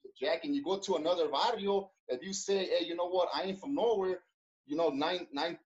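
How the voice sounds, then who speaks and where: A man talks through his past as an interview guest, his voice sitting a little high for a man. He rambles in a loose, slangy way, circling back with fillers. The sound is a bit dull and lo-fi. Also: fairly quick. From Los Angeles. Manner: laid-back street slang, many fillers and restarts. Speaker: interview guest, former gang member. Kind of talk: casual interview storytelling.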